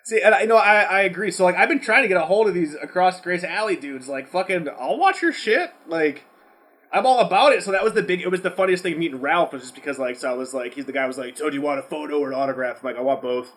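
A man's voice: a little high, quick pace. A man chatting casually as a podcast host, talking in a rambling, slangy way with plenty of swearing. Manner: profane, slangy, animated rambling. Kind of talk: casual unscripted podcast chat.

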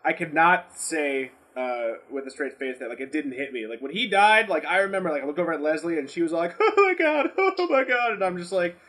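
A man's voice: a little high, brisk, hardly any pauses. A man co-hosting a podcast, talking in a blunt, profane, rambling way as he casually argues and mimics people. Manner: rambling, animated ranting with mimicked quotes. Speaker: podcast co-host. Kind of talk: casual movie debate.

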